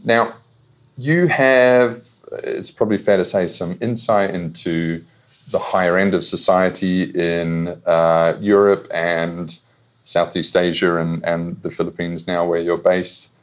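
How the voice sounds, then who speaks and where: A man with a low, deep voice hosts a casual interview podcast. He rambles a little, hedging and restarting as he sets up his questions. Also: fairly even intonation, takes it slowly. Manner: rambling, many fillers and restarts. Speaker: podcast host.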